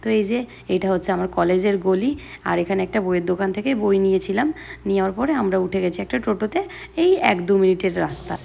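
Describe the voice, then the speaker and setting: A woman's voice: medium pitch, a little fast, gravelly, darkish tone. A young woman with a West Bengali accent narrating a casual daily vlog in a chatty, explanatory way. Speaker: vlogger.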